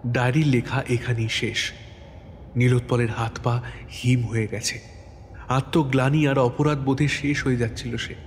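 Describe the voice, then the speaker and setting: A man's voice: medium pitch, flat delivery, moderate pace. A man giving a dramatic scripted narration of a horror story. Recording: long reverb, background noise.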